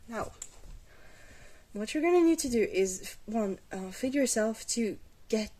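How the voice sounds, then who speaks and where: A woman playing a glamorous vampire mentor in an ASMR roleplay, patient, reassuring and gently wry, with a soft, hesitant delivery. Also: a little high, animated, a little slow. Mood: reassuring, instructive.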